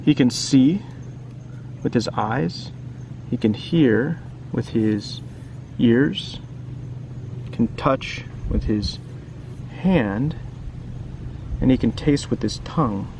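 A man with a low-key crackle in his voice reads a picture book to young viewers in a casual, chatty way. He keeps turning to the listener with questions. Outdoor noise and passing traffic sit clearly behind him. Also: expressive, very slow, long pauses, a little mellow. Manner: informal, asks the listener frequent questions and tag questions. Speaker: children's book reader and teacher.

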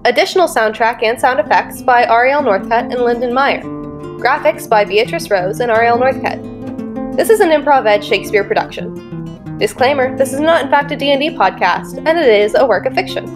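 A woman's voice: on the high side, animated, normal speed, over music. A woman reading scripted podcast credits as a narrator.

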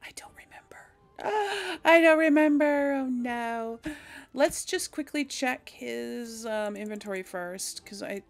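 A woman's voice: on the high side, expressive, takes it slowly, gravelly, faint music behind. A woman hosting a let's play, thinking aloud in casual, hesitant gameplay commentary. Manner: musing aloud, hesitant deliberation.

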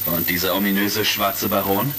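An actor playing a confident, friendly space commander hero in a retro sci-fi audio drama, earnest and heroic. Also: male voice, deep voice, steady tone, normal speed. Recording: faintly crackly vintage record.

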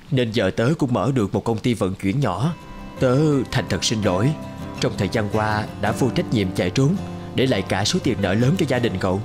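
A man's voice: lowish voice, a little mellow, close to an everyday voice. A man voice-acting an earnest, loyal grown man in a cartoon, speaking sincerely to an old friend. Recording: guitar music under the voice. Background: guitar music.

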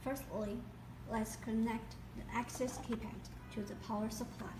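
A woman giving scripted instructional narration as a product demonstrator, in a deliberate step-by-step way. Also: on the high side, fairly even intonation. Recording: echoey, distant mic, noisy background.

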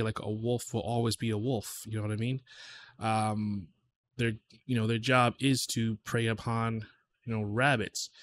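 A man in his thirties giving casual reaction commentary, conversational and reflective, speaking haltingly into a close desk microphone in a small home studio. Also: mid-range voice. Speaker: music reaction host.

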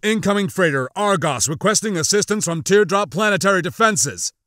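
A man voicing a cocky space smuggler pilot in an audiobook, urgent and quick to bark orders. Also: high voice, animated, a bit bright, no room sound, studio quality, pitched above the performer's own voice. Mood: feigned desperation.

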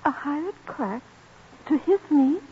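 A young American opera star in an old radio drama. Her voice is very high and sweeps up and down, earnest and theatrical, through muffled sound. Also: animated, a little slow. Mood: despairing. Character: young American prima donna, earnest, emotional, proud.

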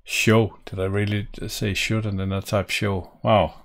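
A middle-aged Danish man with a Danish accent walks through code from his home office, speaking in a fairly low voice at an unhurried, slow pace. He thinks aloud as he goes. Keyboard typing and clicks sit behind him. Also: flat delivery. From Denmark. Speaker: programming tutorial host. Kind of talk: live coding walkthrough.

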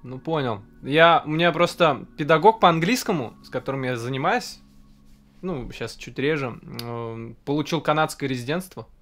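A young man hosting a casual video-call interview, asking short relaxed questions. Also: on the high side, moderate pace, faint music behind. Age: young adult. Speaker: host. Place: indoor home room.

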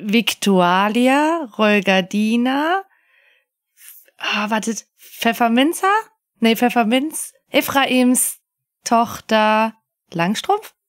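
A woman's voice: on the high side, animated, very slow. A woman co-hosting a podcast in casual conversation. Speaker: podcast co-host. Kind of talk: casual chat.

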